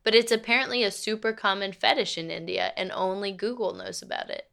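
A woman's voice: medium pitch, steady tone, fairly quick, vocal fry, fairly bright tone. An actress playing a frank, principled lawyer and girlfriend in a radio play, speaking in a natural, articulate, matter-of-fact way. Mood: amused, chatty.

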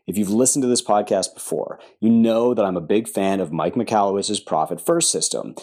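An enthusiastic man reads a sponsor spot, leaning hard on his points. His voice is low and a little husky. His pitch swings widely as he talks. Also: darkish tone. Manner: enthusiastic, emphatic. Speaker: podcast regular reading the sponsor message. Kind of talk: sponsor read.

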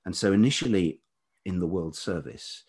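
Deep velvety guy, bit husky, chatting about his life, pauses and feels for his words. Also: darkish tone. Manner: hesitant, thoughtful, halting. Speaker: interview guest, writer and producer. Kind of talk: reflective autobiographical interview chat.

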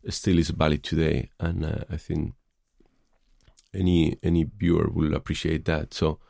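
A man's voice: rumbling low, flat delivery, normal speed, vocal fry, darkish tone, no room sound, clean studio sound. A middle-aged man from Spain with a Spanish accent, answering interview questions thoughtfully, with many hesitations and fillers. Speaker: film director.

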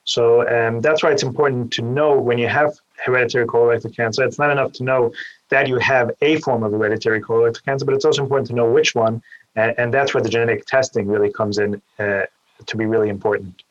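A man's voice: medium pitch, steady tone, fast, muffled recording. A man from Austria, a guest surgeon, who explains things carefully and often repeats a small word as he starts a point. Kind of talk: explanatory interview answers.

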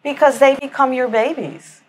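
A woman from Miami, a writer appearing as a podcast guest, speaking candidly and reflectively with soft fillers. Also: high-pitched, fairly even intonation, moderate pace. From Miami, United States. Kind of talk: candid conversational interview.

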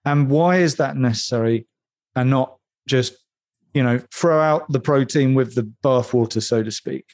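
A man co-hosting a discussion, setting up the topic in a measured, structured way. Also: mid-range voice, a little mellow. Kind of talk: conversational expert discussion.

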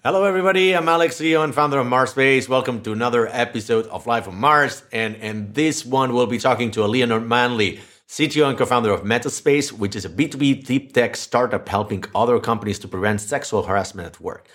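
A man's voice: mid-range voice, fast talker, hardly any pauses, a bit bright. A man hosting a tech podcast, interviewing a guest in a chatty way with many restarts and fillers. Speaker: podcast host. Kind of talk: casual interview. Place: Madrid, Spain.

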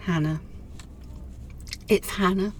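A woman's voice: lowish voice, steady tone, takes it slowly, a little mellow, slight background noise. An actress playing a withdrawn, despairing middle-aged career woman, terse and guarded, in a radio drama. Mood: numb, despairing.

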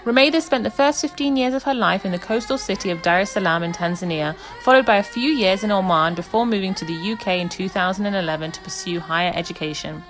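A woman hosting a podcast, reading a prepared introduction of her guest in a measured way. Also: mid-range voice, over music, low-quality recording. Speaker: podcast host. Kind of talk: scripted introduction.

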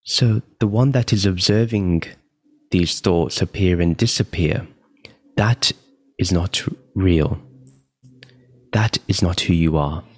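Slow, low-voiced man guiding meditation. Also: no room sound. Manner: calm, measured, deliberate, with long pauses. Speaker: meditation guide.

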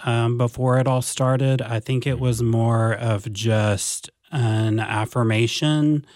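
A man in his forties talks about his work at a deliberate, unhurried pace, pausing on ums and restarting his phrases. His voice sits on the low side. The sound is dry and close. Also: no room sound. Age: 40s. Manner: slow, measured, with many ums and restarts. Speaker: district advanced academics coordinator. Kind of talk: podcast interview guest.

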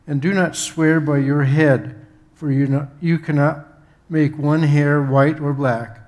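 A man reading a Bible passage aloud in a halting manner, with pauses. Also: a little high, little pitch movement, darkish tone. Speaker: scripture reader.

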